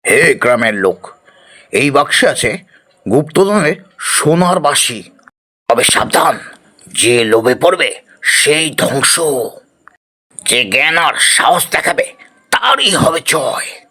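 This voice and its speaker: A man playing a solemn old holy man in a fairy-tale cartoon, gravely proclaiming warnings. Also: on the high side, expressive, slow pace, pauses often, sharp tone, exaggerated voice. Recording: music behind.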